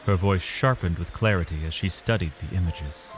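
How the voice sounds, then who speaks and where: A man delivering dramatic, measured literary narration as the storyteller of a scripted fantasy tale. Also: sits low. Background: music.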